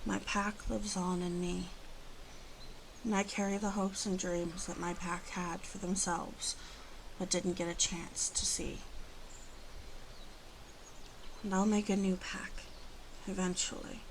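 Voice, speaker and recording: A woman playing a proud, guarded but protective female werewolf in an ASMR roleplay, speaking haltingly and hushed, often dropping into whispers. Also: on the low side, little pitch movement, slight background noise, low-quality recording. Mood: solemn.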